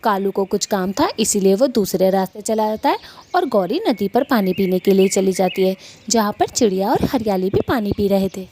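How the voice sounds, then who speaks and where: Medium-pitched woman narrating a story. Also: moderate pace, dark tone, a little hiss or hum. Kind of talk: scripted children's story narration.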